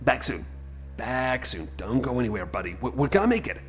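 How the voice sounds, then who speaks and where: A man's voice: mid-range voice, very animated, fairly quick, soft background music, close to an everyday voice. A man playing a friendly, anxious cyborg companion in an ASMR roleplay, rambling hesitantly and repeating himself as he chats to the listener. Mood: eager, reassuring.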